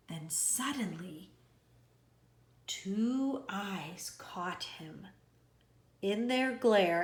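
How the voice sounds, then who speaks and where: A woman reads a children's storybook at a slow, unhurried pace. Her intonation rises and falls widely, and the room gives her voice a clear echo. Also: mid-range voice, a bit bright. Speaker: storybook reader. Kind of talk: expressive scripted children's storytelling. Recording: roomy, echoey indoor sound.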